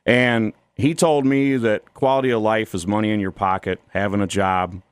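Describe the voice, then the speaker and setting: A man's voice: on the low side, steady tone, normal speed, smooth, dry recording. An American man from Ohio speaking as a studio guest in a political radio interview, earnest and critical. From Toledo, Ohio, United States.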